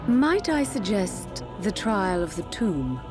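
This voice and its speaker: A cool scheming queen type, middle aged, courtly, loud music under her. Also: mid-range voice, big pitch swings, slow. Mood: coolly insistent. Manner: poised, courtly. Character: cool, calculating middle-aged royal consort and mother. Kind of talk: formal fantasy game drama. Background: loud background music.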